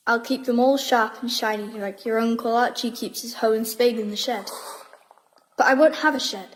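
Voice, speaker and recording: A woman's voice: a little high, moderate pace, a little hiss or hum, natural voice, rough recording. An actress playing a plucky, determined schoolgirl in an audio drama, speaking in a matter-of-fact, decisive way. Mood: dreamy, determined. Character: plucky, determined schoolgirl who dreams of sculpting.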